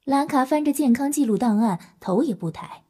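A woman's voice: high voice, animated, normal speed, dark tone, slightly rough. A woman narrator telling a story in scripted audio drama style.

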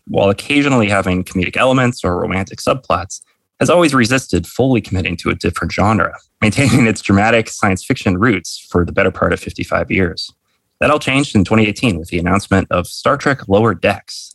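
A man hosting a podcast, reading a measured, articulate introduction and then interviewing a guest. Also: on the low side, a bit bright, dry recording. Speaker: podcast host.

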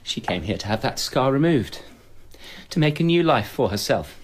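A man plays a volatile, grieving accuser in a radio drama. His pitch swings widely as he speaks with bitter sarcasm and passion, over faint background noise. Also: sing-song, moderate pace, lightly acted. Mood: cold accusation. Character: bitter, volatile, grief-stricken male houseguest, theatrical accuser.

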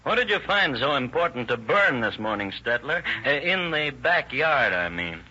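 An actor playing a shrewd, skeptical police detective, crisp and authoritative in his questioning, in an old radio drama. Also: mid-range voice, very animated, normal speed, bright, forceful tone, full character voice. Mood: pointed, pressing. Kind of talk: 1940s radio acting. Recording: old lo-fi radio recording with hiss.

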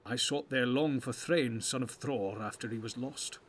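A man's voice: mid-range voice, normal speed, slight background noise, natural voice. A man playing a grave, commanding old wizard in a fantasy audiobook, speaking in weighty, archaic, oratorical phrases. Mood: resolute.

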